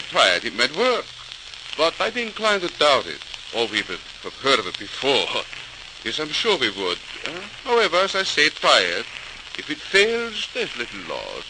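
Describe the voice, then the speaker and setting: Fussy boss, wildly swooping intonation. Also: male voice, fairly high pitch, wildly swooping pitch, normal speed. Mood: doubtful. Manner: hesitant, repetitive, dismissive. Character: skeptical, fussy businesslike male company boss. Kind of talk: 1940s radio acting. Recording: noisy crackling old radio recording.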